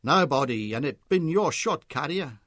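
A man's voice: a little high, lively intonation, normal speed, fairly bright tone, dry recording, studio quality. A man voicing a cocky pool hustler who speaks in broken English and snaps threats, in a storybook reading. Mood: menacing.

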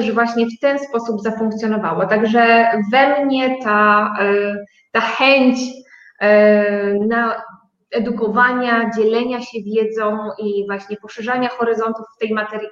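Roomy, slightly muffled sound. Female interview guest, fairly high voice, conversational explaining. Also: fairly even intonation, measured pace, a bit bright. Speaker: interview guest, business founder. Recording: echoey room, slightly dull sound.

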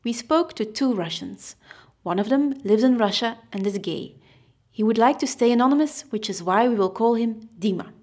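Woman, mid pitch, scripted documentary voice-over. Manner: even, read-aloud delivery. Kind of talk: scripted narration.

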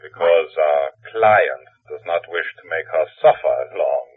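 An actor playing an obliging, cold-blooded hired killer in a 1940s radio thriller, matter-of-fact and reassuring. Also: male voice, rumbling low, measured pace, husky, exaggerated voice. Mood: coolly obliging. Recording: narrow, tinny 1940s radio phone line.

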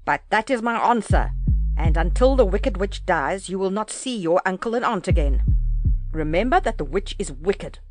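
A woman's voice: medium pitch, lively intonation, normal speed, darkish tone, no echo, faint music behind, close to an everyday voice. Woman reading as a stern, grave great wizard.

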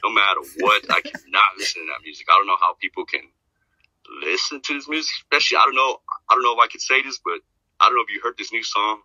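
Deep voiced guy rambling on a podcast, super bright edge, dry dull sound. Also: quick pace, no echo. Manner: rambling, repeats himself, filler-heavy. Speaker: podcast guest, rapper. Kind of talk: casual chat.

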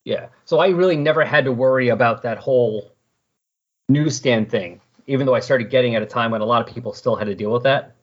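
A middle-aged man with a slightly husky voice hosting a casual comic book discussion in a chatty, explanatory way. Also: medium pitch, brisk. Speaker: podcast host. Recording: dull lo-fi voice recording.

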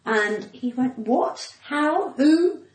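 A woman's voice: sits high, animated, very slow. A middle-aged woman with a cut-glass British accent, chatting casually and telling anecdotes in an emphatic, theatrical way as a guest. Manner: emphatic, theatrical storytelling, quoting dialogue. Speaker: guest, comedian. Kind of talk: casual conversation with animated anecdotes. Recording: roomy, echoing room sound.

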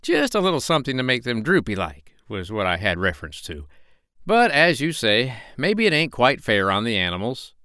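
A man's voice: mid-range voice, sing-song, normal speed, bright, forceful tone, no room sound, studio quality, pitched above the performer's own voice. A man voicing an eager, uneducated street meat seller with a folksy, wheedling manner for a children's story. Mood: persuasive. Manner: wheedling, colloquial, emphatic.

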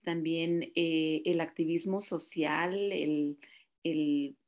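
A middle-aged woman from Mexico speaking as a podcast guest, thoughtful and measured. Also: low-pitched, little pitch movement, a little mellow. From Sinaloa, Mexico. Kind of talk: reflective conversation.

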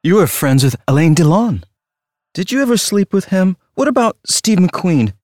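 A man's voice: sits high, sing-song, moderate pace, a little mellow, no echo, studio quality. A man playing an obsessive, crude true-crime fan in an audio drama, questioning insistently. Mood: prying, insistent. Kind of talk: dramatic audio play dialogue.

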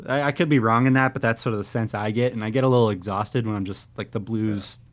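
A man's voice: on the low side, steady tone. A man co-hosting a music podcast, easygoing and agreeable in casual chat. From Canada. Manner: easygoing, agreeable, quick reactions. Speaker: podcast co-host.

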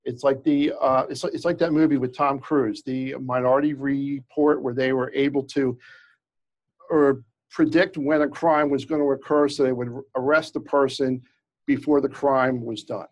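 A man's voice: medium pitch, fairly even intonation, normal speed. Man with a slight stutter, explaining. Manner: many restarts and fillers, explaining with examples. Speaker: employment lawyer, guest. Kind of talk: conversational expert explanation.

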